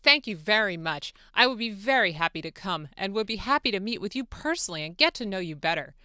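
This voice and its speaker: A woman's voice: mid-range voice, expressive, quick pace, pushed and bright, smooth, no echo, clean studio sound. A woman voicing an eager, deferential foreign president in a formal, polite dramatic reading. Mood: gracious, eager. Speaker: radio show producer. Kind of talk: dramatic reading of a phone-call transcript. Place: radio studio. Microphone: close studio microphone.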